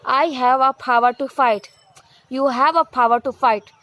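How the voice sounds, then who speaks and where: A woman whose first language is Hindi, giving an earnest motivational talk in halting English with many restarts, repeated phrases and fillers. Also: high voice, steady tone, fairly bright tone, no room sound. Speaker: vlogger. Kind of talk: motivational monologue, practicing English.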